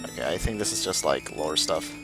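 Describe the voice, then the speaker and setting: A man's voice: very deep voice, expressive, fairly quick, vocal fry. A man live streaming a game, reading its text aloud and commenting as he plays. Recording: music behind the voice. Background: game music.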